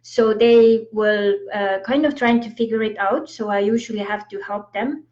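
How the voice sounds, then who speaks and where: A woman in her forties speaks with an Estonian accent in a loose, chatty monologue full of "you know" and "like". Her voice sits at an ordinary middle pitch. The livestream audio is dull and lo-fi. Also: fairly even intonation, moderate pace, darkish tone. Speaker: spiritual coach on a livestream. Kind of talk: casual motivational monologue. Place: home in the US. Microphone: livestream from phone or laptop.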